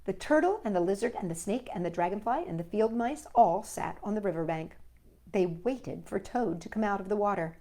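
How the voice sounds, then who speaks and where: A woman's voice: mid-range voice, lively intonation, brisk, mellow. A woman hosting a children's storytime, reading a picture book aloud with playful, chatty asides to young viewers.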